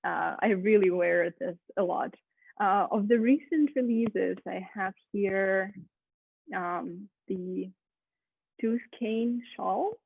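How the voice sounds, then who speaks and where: A woman's voice: medium pitch, slow pace, vocal fry, breathy edge, no room sound. A woman, a guest knitwear designer chatting casually, full of ums and uhs. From Ukraine. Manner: chatty, many ums and uhs, laughs often. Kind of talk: casual interview chat. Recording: tinny phone-like video call.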